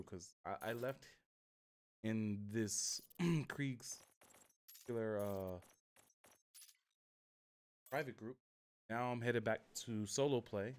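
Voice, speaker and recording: A man giving casual, rambling, self-deprecating live commentary as he streams a game. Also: fairly low pitch. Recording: clear background noise.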